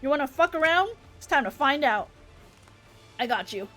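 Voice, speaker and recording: Young woman streamer, high voice, chatty and playful, game music in the back. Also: lively intonation, normal speed, fairly bright tone. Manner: playful, chatty, reacting aloud. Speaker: livestreamer. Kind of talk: casual gaming stream chat. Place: at home, live stream. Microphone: streaming microphone.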